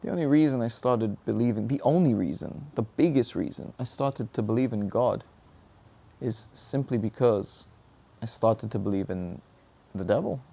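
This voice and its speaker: A man's voice: medium pitch, moderate pace, creaky, dark tone. A young adult man giving an earnest, searching monologue about his own struggles, often asking himself questions. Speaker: speaker sharing personal reflections. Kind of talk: reflective motivational monologue.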